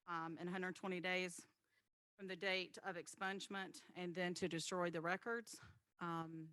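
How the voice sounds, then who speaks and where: A woman's voice: mid-range voice, bright, forceful tone, dry recording. A woman chairing a city committee meeting, moving through motions and votes in a businesslike way with frequent filler words. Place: city hall meeting chamber.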